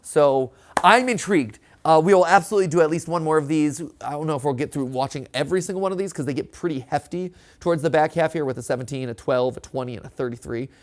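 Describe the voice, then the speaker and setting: A man hosting a reaction show, theorizing casually in an animated, conversational way and often checking in with a tag question. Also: on the high side, quick pace, few pauses. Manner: animated, conversational, often ends points with "right?".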